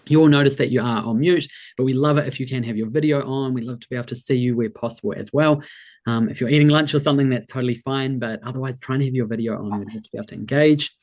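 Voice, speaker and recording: A man with a New Zealand accent hosts a live online workshop, his voice sitting at an ordinary middle pitch. He sounds enthusiastic and encouraging, warmly greeting people and reading their messages aloud over a video call. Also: fast. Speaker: workshop host and business coach. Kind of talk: upbeat webinar hosting.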